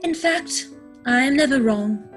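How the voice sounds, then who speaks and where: A woman's voice: high-pitched, expressive, unhurried, faint music behind. A woman playing a prim, self-assured young society lady in a stage comedy reading, affected, coy and precise. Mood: coy, confident.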